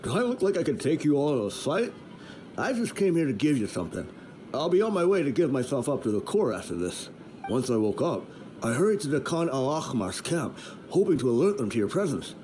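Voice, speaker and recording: A man's voice: medium pitch, very animated, a little fast, warm tone, a little hiss or hum, lightly acted. A man playing a weary, honourable mercenary underling in a video game, matter-of-fact in manner. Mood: resigned, frank. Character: plain-spoken, weary but honourable male mercenary underling.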